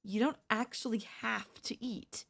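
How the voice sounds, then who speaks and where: A woman's voice: a little high, steady tone, a little slow, gravelly, a bit bright, breathy edge, heavily acted. A woman voicing a sly, chatty female zombie in a comedic audio roleplay, coaxing and reasoning in a casual, conversational way. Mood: friendly persuasion.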